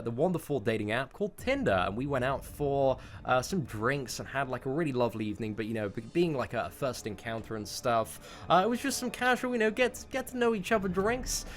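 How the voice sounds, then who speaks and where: A man in his twenties telling a personal story to viewers in a casual, rambling, sweary way, repeating words for emphasis. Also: medium pitch. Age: 20s. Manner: informal, sweary, rambling, emphatic word repetition. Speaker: YouTube content creator.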